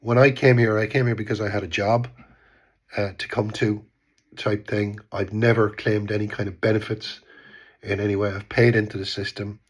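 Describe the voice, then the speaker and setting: A man's voice: lowish voice, level tone, moderate pace, breathy edge. A man in his fifties with an Irish accent, stuffed up with a cold, giving rambling casual political commentary and often trailing off with "type thing". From Ireland. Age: 50s. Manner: rambling, repeats words, says "type thing". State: congested from a cold. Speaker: vlogger. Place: home in Bristol.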